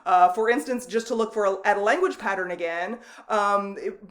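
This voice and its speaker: A woman instructor giving a recorded online lecture, speaking loosely and conversationally with frequent ums and restarts and a loud voice. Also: medium pitch, a little fast. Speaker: college instructor.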